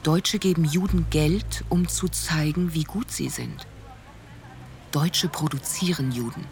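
A woman reciting scripted literary statements for a radio play, declarative and deliberately phrased. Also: low-pitched, darkish tone. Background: music.

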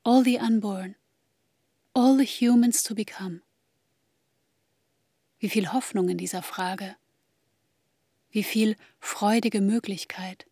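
Casting: woman yearning for a child, introspective radio play monologue, slow, with creaky edges. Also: mid-range voice, steady tone, dry recording, studio quality. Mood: yearning, wondering. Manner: measured, hushed, addressing the listener as "you". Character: woman longing for a child, introspective, anxiously counting days. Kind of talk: literary radio drama monologue.

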